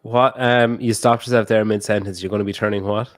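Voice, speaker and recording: This is a man with a fairly low voice, chatting casually as a podcast host. He rambles and swears offhandedly. The sound is dry and close, with no room tone. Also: steady tone, brisk, no echo.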